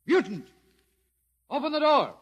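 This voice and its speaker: An American actor playing a scornful, trigger-happy lieutenant in a 1950s radio drama, commanding and sarcastic. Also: male voice, medium pitch, sing-song, normal speed, fairly bright tone. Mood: barking orders.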